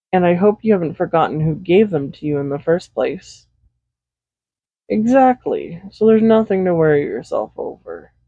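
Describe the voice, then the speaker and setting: A woman playing a doting, possessive villain in an intimate roleplay audio, speaking softly and without hurry in a gently teasing manner. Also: deep voice, no echo. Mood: teasing, reassuring. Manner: soft-spoken, unhurried, gently teasing. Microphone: Blue Yeti USB microphone, close. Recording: slightly dull home microphone recording.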